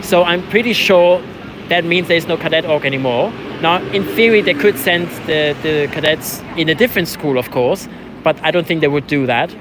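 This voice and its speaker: A man from Switzerland who learned English as a second language talks casually to camera and companions. His voice sits a little high, and his delivery is conversational, with frequent affirmations. Faint outdoor street noise sits behind him. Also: moderate pace. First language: not English, learned English after moving to England. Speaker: vlogger, protester. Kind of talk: casual chat. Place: outdoors on a city street at a protest.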